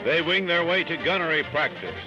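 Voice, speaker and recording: A man narrating a scripted 1940s newsreel over loud music on a vintage soundtrack. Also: a little high, fairly quick, muffled recording.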